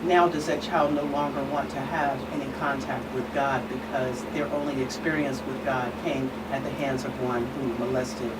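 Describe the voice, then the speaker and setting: A woman speaking as a guest expert in an earnest discussion, measured and emphatic, pausing between phrases. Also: very deep voice, steady tone. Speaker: guest expert, reverend and attorney. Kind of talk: earnest talk show discussion. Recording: distant mic, clear background hum.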